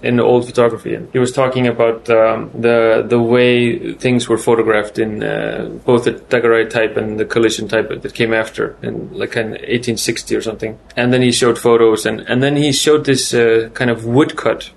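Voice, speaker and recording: A man from Iceland with an Icelandic accent, a film director giving festival interview answers in a halting way, searching for words and often restarting. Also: medium pitch, level tone, creaky, a little hiss or hum.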